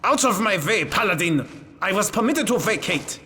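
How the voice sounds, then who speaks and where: A man's voice: high-pitched, expressive, normal speed, pushed and bright. A man playing a self-serving, callous brigand in a fantasy game, defensive and matter-of-fact about his crimes. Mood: nervous, indignant. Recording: long hall reverb, noisy clicks.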